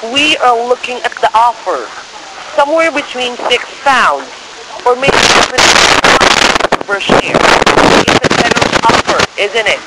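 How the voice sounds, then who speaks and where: Guy cold calling w/ a sales script, pretty high voice, non-native english, pushy, lots of line noise. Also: expressive, unhurried. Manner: salesy, persistent, often checking the listener follows. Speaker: cold-call share scammer posing as broker. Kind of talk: telephone sales pitch, partly scripted. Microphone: telephone. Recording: noisy phone call with crackly hiss.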